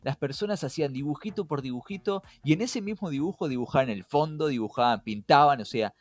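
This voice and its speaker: A man's voice: a little high, brisk, no room sound. A man with a Rioplatense Spanish accent hosting a casual podcast, explaining things in an engaging way with many rhetorical questions. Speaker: podcast host. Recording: music behind. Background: background music.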